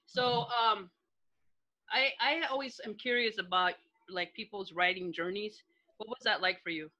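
A woman's voice: medium pitch, moderate pace, pushed and bright, lo-fi sound. A middle-aged woman from Chicago hosting a casual video-call interview from home, chatty and gushing, swearing freely. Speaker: host. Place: bedroom at home. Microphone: laptop webcam video call.

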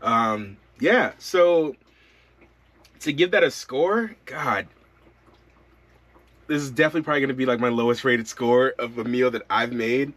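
A man's voice: mid-range voice, measured pace, long pauses, no echo. Easygoing man chatting in a van. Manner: relaxed, rambling, with frequent fillers. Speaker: van-life vlogger. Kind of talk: casual chat to camera. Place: inside a camper van.

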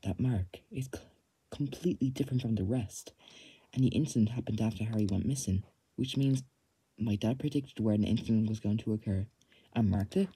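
A man's voice: fairly low pitch, brisk, mellow, breathy edge, low-quality recording. A man casually reading game dialogue aloud and commenting as he plays. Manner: reads on-screen text in a plain voice. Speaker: let's play gamer.

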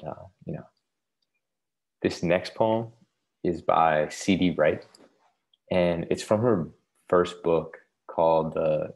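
A man in his thirties reading and introducing poems from home on an earbud mic over a video call. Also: sits low. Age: 30s. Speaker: poet at a reading. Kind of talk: poetry reading with casual introductions. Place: home living room, indoors. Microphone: wired earbuds on video call.

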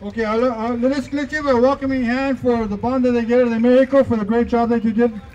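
A man acting as emcee, introducing speakers at an outdoor rally in a brisk, upbeat way. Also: very high voice, steady tone, dull audio. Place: outdoors in a park. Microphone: handheld mic. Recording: noisy outdoor recording, off-mic.

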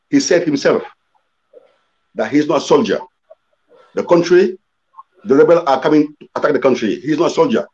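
An older man talking as a panel guest on a video call, halting, with many restarts and "you know" as he explains. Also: a little high, darkish tone. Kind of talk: casual discussion on a video call. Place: room indoors. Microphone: laptop webcam video call. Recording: slightly dull video-call audio.

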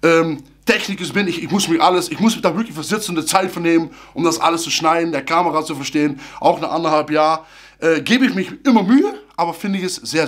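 A man in his thirties talks straight into a close camera in a small room, with a fairly high voice. He sounds animated and chatty, and his grammar slips now and then. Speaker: theme park YouTuber. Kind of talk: casual vlog talk to camera. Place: small room full of fan merchandise, indoors. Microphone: camera at close range.

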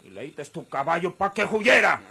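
Forceful, high-pitched old Mexican ranch boss. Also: male voice, expressive, normal speed, vocal fry, husky, full character voice. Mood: accusing, commanding. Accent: rural Mexican. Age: older. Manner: forceful, accusing, rustic speech. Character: stern, accusing old ranch boss, vengeful and commanding. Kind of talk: Mexican radionovela acting.

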